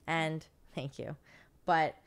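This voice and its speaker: This is a woman with a notably low, smooth and resonant voice. She co-hosts an informal chat and talks in a loose, halting way. Her sentences often branch into little asides. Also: flat delivery. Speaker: podcast co-host, cannabis industry professional. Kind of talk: casual chat.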